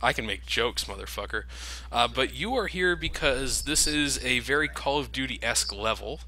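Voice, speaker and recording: A man's voice: medium pitch, expressive, normal speed, sharp tone. A man commentating over a video game, joking sarcastically and swearing freely. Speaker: let's play commentator. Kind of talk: casual gameplay commentary.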